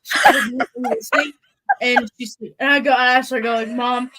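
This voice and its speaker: A woman's voice: a little high, steady tone, normal speed, sharp tone, poor audio. Playful young woman telling stories. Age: young adult. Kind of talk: casual chat, storytelling.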